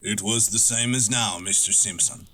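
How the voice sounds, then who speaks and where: A man playing a proud, guarded wilderness guide in an audio drama, laconic, stoic and measured. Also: lowish voice, fairly even intonation, sharp tone, slight background noise. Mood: matter-of-fact.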